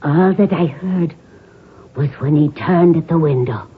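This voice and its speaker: Very husky, haunted Irish maidservant. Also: female voice, low-pitched, heavily acted. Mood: grave, haunted. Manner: slow, dramatic storytelling. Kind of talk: 1970s radio drama acting. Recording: narrow, phone-like radio recording.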